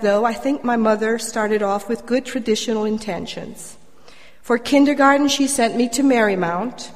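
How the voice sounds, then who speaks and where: An older woman with a medium-pitched voice tells a prepared personal story as a church member. Her delivery is measured with wry humour, and it carries through a microphone into a reverberant hall. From Colombia.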